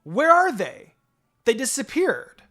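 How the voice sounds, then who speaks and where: A man voicing sneering, hostile schoolgirl bullies in a story reading, loud and taunting. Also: extremely high pitch, huge pitch swings, slow pace, voice pushed up, full character voice. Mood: shrill outrage. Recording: music behind the voice.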